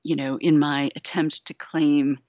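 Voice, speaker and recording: Low-voiced woman, slow and reflective. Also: level tone, darkish tone, no room sound, muffled recording. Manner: slow and reflective, with many pauses and fillers. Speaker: poet, interview guest. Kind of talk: thoughtful interview talk and poetry reading.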